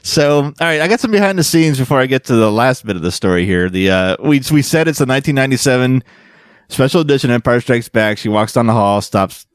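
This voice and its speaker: Animated man hosting a casual podcast. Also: mid-range voice, fast, smooth, no echo, studio quality. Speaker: podcast host. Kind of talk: casual podcast banter and explaining.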